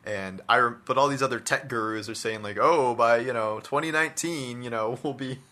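A man's voice: medium pitch, brisk, pushed and bright. A man talking casually and giving his opinions in a discussion about his hobby, peppering his speech with "like" and "you know". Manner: conversational, hedging with "like" and "you know". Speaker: podcast co-host discussing video games.

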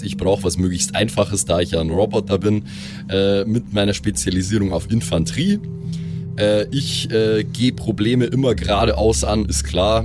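Low-voiced man, casual joking tabletop chat, music playing underneath. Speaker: tabletop role-play podcast player. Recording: prominent music bed underneath. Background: background music.

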